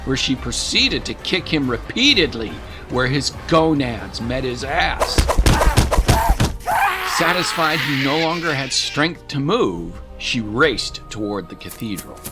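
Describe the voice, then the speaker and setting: A man's voice: medium pitch, animated, unhurried. Male audio drama narrator over music. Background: music bed.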